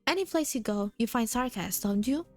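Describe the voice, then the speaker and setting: A woman voicing a warm, rambling older man who keeps a hotel, with faint music behind. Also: on the high side, expressive, fairly quick, natural voice. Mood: fond. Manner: rambling, fond, nostalgic. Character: warm, chatty older male hotel keeper.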